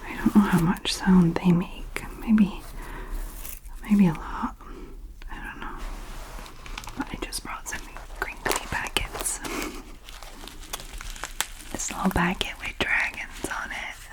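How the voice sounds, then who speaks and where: A woman with a light Scottish accent, whispering softly and hesitantly close to the microphones as an ASMR creator. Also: fairly low pitch, steady tone, takes it slowly, pauses often, rough recording. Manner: soft unvoiced whisper, rambling and hesitant. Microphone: close to sensitive mics.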